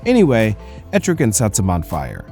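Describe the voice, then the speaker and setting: A man hosting a casual commentary recap, telling the story in an animated, conversational way. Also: lowish voice, normal speed, creaky. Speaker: comics recap host. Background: music.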